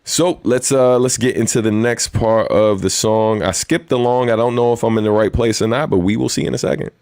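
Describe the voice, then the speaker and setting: A man with a very deep voice hosting a weekly live stream, chatting casually in a laid-back, rambling way with lots of fillers. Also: fairly even intonation, fairly quick, a little mellow, dry recording, studio quality. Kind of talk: casual livestream chat and Q&A.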